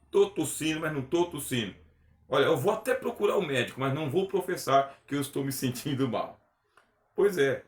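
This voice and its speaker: A man teaching a recorded theology lecture, earnest and emphatic, often asking rhetorical questions. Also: medium pitch. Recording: echoey room.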